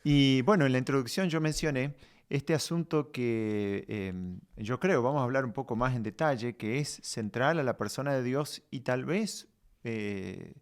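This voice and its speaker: A man hosting a conversational podcast, speaking in a measured, reflective way with pauses as he addresses his guest. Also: medium pitch. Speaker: podcast host.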